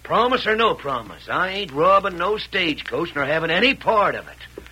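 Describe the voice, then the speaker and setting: An actor playing an excitable, aggrieved stagecoach man with folksy cowpoke talk in an old radio western. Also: high voice, normal speed, husky, exaggerated voice. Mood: reluctant, aggrieved. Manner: folksy cowpoke dialect, ungrammatical. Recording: slightly noisy old radio recording.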